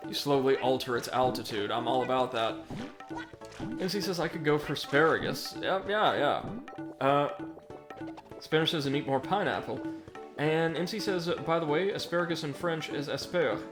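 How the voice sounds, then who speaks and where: A man's voice: on the high side, moderate pace. An American man streaming a video game live, rambling along with self-deprecating puns and reading out chat messages as he plays. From United States. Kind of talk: casual live commentary while gaming. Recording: game music underneath. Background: video game music.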